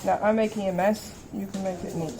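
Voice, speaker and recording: A woman's voice: mid-range voice, fairly even intonation, a little fast, background noise. A woman teaching a hands-on art class, thinking aloud step by step and putting questions to her learners. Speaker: art teacher demonstrating colour mixing. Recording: loud chatter of people behind.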